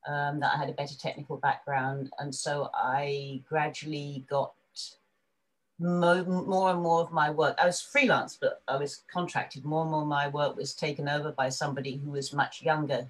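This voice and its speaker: An older woman with an English accent and a fairly low voice tells her story in a relaxed, chatty way. She laughs easily and comes alive when she quotes other people. She sounds like she is on a video call. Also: moderate pace, low-quality recording. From England. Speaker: guest. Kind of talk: casual interview storytelling.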